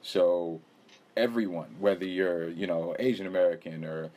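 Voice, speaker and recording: A man's voice: deep voice, steady tone, moderate pace, dull audio. A man hosting a podcast interview in a casual, rambling way, hedging and laughing often. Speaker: podcast host.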